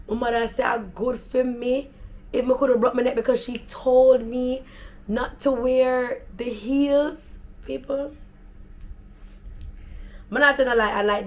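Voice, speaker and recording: A woman with a Jamaican accent and a deep, raspy voice, telling stories in a chatty, animated vlog. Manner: animated, chatty, slips into patois. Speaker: vlogger. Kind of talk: casual storytelling.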